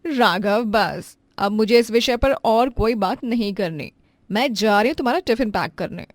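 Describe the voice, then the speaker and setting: Woman playing a high-pitched, haughty, stingy young daughter-in-law. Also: moderate pace, dry recording, close to an everyday voice. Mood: haughty, dismissive. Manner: affected sweetness turning sharp and condescending.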